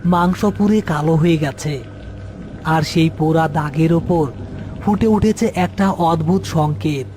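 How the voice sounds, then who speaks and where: A man reading scripted narration for a horror story as narrator and channel host. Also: on the high side.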